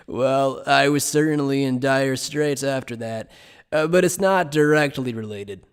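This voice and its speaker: A man playing an evasive, playful scholar who explains things in a polite, measured, teasing way in an anime fan dub. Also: mid-range voice, smooth, close to an everyday voice. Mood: playful, breezy. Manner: polite, measured, teasing lecturer with coy understatement.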